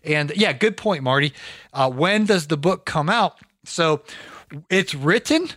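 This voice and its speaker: Hoarse, energetic male podcast host. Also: fairly high pitch, measured pace, no echo, studio quality. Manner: energetic, rambling storyteller. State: hoarse from recording. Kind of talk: casual podcast chat.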